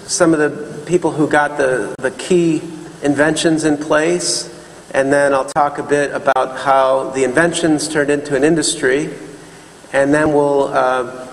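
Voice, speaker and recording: A man giving an informal, halting lecture as a guest speaker to an audience in an auditorium. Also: on the high side. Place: large auditorium stage. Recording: echoey hall with background noise.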